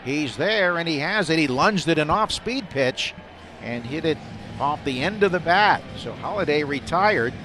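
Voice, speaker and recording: A man's voice: fairly high pitch, moderate pace. A man calling a baseball game live on the radio as the play-by-play announcer, from a booth at the ballpark. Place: broadcast booth at an outdoor ballpark. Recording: steady background noise of ballpark. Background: stadium ambience.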